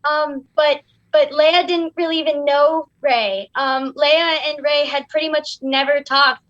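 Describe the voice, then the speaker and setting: A young woman with a high voice rambles her way through an argument, full of fillers and restarts. The sound is dry and close, but a little dull and lo-fi. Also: steady tone, a little slow, a bit bright, dry recording. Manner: rambling, hesitant, many fillers. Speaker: guest co-host, daughter of the guest. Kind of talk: casual chat.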